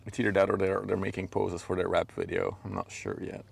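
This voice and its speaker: Gravelly, low-voiced Flemish man livestreaming outdoors. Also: monotone, brisk. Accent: Belgian Dutch (Flemish). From Belgium. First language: Dutch. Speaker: live streamer, drone pilot. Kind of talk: casual livestream chat and commentary. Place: outdoors on a riverbank. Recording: faint outdoor background noise.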